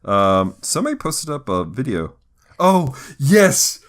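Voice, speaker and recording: Guy podcast host, really lively up and down delivery, medium pitch, casual jokey chat. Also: unhurried.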